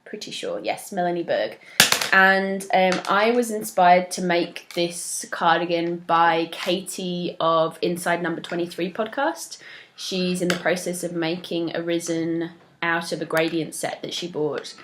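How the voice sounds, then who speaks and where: A young woman hosting a knitting podcast, chatting casually and a little stuffed up from a cold, with many ums and soft laughs. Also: on the low side, steady tone, unhurried, background noise. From moved to Australia from elsewhere. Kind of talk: casual solo chat to camera.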